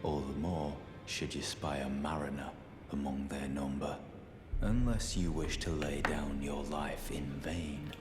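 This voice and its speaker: Grim monster hunter in a game, super deep voice, slow n solemn, music behind. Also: male voice, steady tone. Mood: stern warning. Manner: solemn, formal, deliberate. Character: grim, stern male hunter of the undead. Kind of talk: video game character acting.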